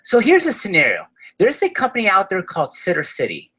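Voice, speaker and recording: A man with an Argentine accent giving an upbeat webinar sales pitch for his online course, often tagging his sentences with "right?". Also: wildly swooping pitch, fairly quick, bright, forceful tone, breathy edge. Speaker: course seller presenting a webinar.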